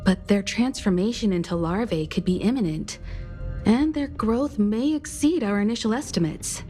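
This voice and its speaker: A woman's voice: medium pitch, moderate pace, warm tone, faint music behind, natural voice. An actress playing a composed, precise woman of science in a video game. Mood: grave, composed. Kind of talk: video game character acting.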